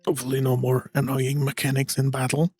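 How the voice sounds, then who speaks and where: Female game streamer, notably deep voice, casual offhand commentary over faint game music. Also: flat delivery, brisk, a little mellow, breathy edge, no room sound. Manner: offhand, musing aloud. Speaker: gaming streamer.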